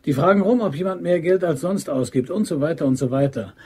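A boastful amateur robber for a crime radio play. The voice is husky and a little high. He is cocksure and clipped as he schemes. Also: brisk, a little mellow. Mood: cautious, firm. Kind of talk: German crime radio drama acting.

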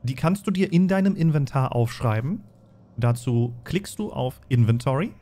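A man's voice: mid-range voice, animated, normal speed, dark tone. A man acting as game master, patiently explaining tabletop game rules step by step and narrating.

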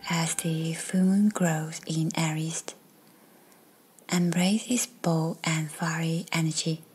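Woman, meditation guide, low-pitched, even tone, soft breathy near-whisper, soothing, scripted narration. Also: slight background noise. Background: music.

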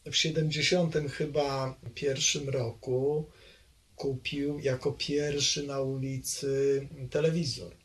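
Older man reminiscing haltingly. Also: mid-range voice, little pitch movement. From Poland. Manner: hesitant, halting speech with many fillers. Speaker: interviewee recalling his childhood. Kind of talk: oral history interview.

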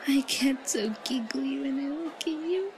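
Yandere schoolgirl , female performer: timid yet obsessive, high whispery voice for roleplay audio, noisy background. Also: animated, a crowd in the background. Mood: giddy obsession. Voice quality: breathy, whispery. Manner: giggly, halting. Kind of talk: intimate roleplay audio, breathy murmuring to herself. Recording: noisy, crowded ambient background.

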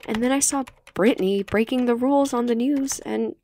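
A woman's voice: fairly high pitch, moderate pace, a little mellow, pitched above the performer's own voice, close to an everyday voice. A woman voicing an earnest teenage girl, a sincere newcomer eager to fit in, for an audiobook. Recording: slightly noisy home recording.

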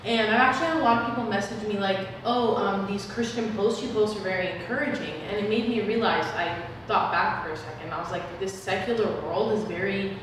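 A nineteen-year-old woman chats eagerly as a guest, her casual speech peppered with "like." Her mid-range voice reaches the mic from across an echoey room. A long reverb and steady background noise surround her. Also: mid-range voice, a little fast, hardly any pauses, distant mic. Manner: eager, chatty, uses "like". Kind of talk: casual discussion. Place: church room, small group at a table.

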